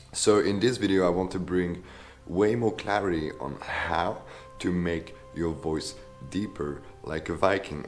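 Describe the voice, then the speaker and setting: A man with a very low, deep voice coaching viewers through a casual tutorial. He sounds enthusiastic and keeps checking in with a quick "yeah?". Faint music plays underneath. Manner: enthusiastic, tags sentences with "yeah?".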